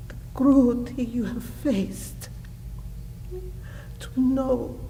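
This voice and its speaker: Woman doing a grieving mother in a stage monologue, solemn n emotional, super slow with long breathy pauses, mellow voice. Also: on the high side, slight background noise.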